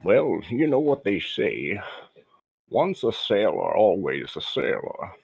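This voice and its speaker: Guy doing a hungover old halfling ex-sailor, slow groggy drawl, lowish voice. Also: huge pitch swings, gravelly, a little mellow, husky, full character voice.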